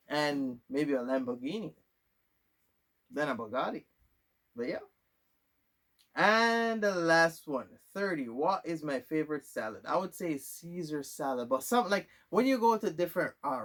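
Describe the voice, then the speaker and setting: A young man talks casually to the camera, answering questions about himself. His voice sits a little high for a man, and he rambles loosely, circling back with "like" and half-finished restarts. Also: fairly bright tone. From Jamaica. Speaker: vlogger. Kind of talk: casual Q&A monologue.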